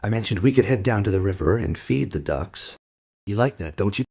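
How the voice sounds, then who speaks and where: A man playing a guilt-ridden, defensive middle-aged father giving a halting confession in a crime radio play. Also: fairly low pitch, lively intonation, vocal fry, darkish tone, slightly rough, heavily acted. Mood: subdued, explaining.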